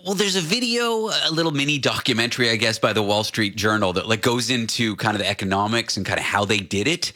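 Animated bright-voiced male radio host. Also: on the low side. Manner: chatty, animated, playful. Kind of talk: conversational interview with scripted introduction.

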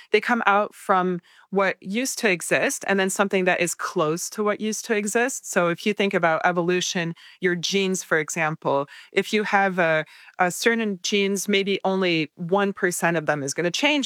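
Lowish, dry-sounding woman explaining ideas. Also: a bit bright, no room sound. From Paris, France. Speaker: mathematician, interview guest. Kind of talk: casual explanatory interview talk.

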